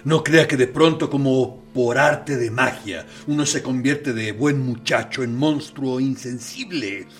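An actor playing a male torturer captain in a radio drama, measured and defensive, justifying himself with quiet intensity. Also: medium pitch, faint music behind, close to an everyday voice.

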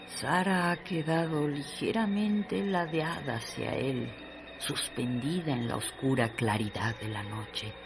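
A man narrating a literary radio drama in a deliberate, dramatic way. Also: medium pitch, noisy background.

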